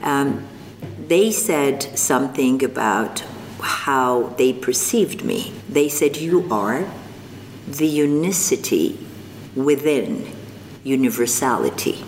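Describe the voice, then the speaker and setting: An older woman from North Africa, speaking as an interview guest, slow and thoughtful with frequent pauses. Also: very deep voice, lively intonation. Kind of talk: reflective interview conversation. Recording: long reverb, faint background noise.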